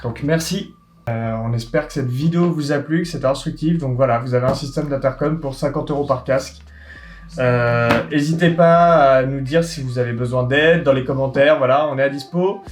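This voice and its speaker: A man's voice: medium pitch, normal speed. A man hosting a casual do-it-yourself tutorial, explaining each step plainly and joking with his co-host. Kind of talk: casual DIY tutorial with banter.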